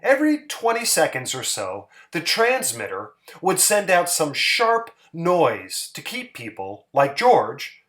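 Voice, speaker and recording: A man's voice: on the high side, takes it slowly, few pauses. A man giving a dramatic, theatrical reading of a literary short story. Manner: expressive, theatrical. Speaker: story reader.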